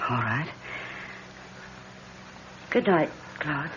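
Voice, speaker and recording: A solicitous, coaxing fiancée with very lively intonation. She speaks theatrically, in a dull old radio drama with faint noise. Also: sits low, drawn-out words, exaggerated voice. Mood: concerned. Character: loving, insistent fiancée, anxious and solicitous.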